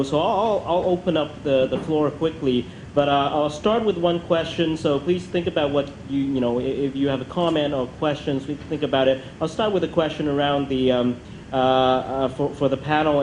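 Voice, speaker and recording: A man's voice: mid-range voice, normal speed, a little mellow. Room echo surrounds a man moderating a conference discussion. His delivery is hesitant and rambling with repeated words, over faint background noise. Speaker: panel moderator. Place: multi-purpose function room at a conference.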